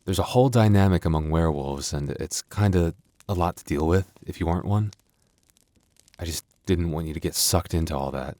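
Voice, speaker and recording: A man playing a gentle, sheepish young werewolf boyfriend in an intimate ASMR roleplay, speaking softly and hesitantly. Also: low voice, a little fast, no room sound, close to an everyday voice. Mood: sheepish, reassuring. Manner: soft, hesitant, stammering.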